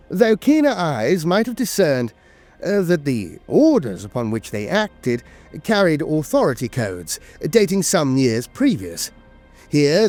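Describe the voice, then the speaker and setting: A man's voice: on the high side, darkish tone. A man narrating scripted lore as a storyteller, with a grave, dramatic delivery.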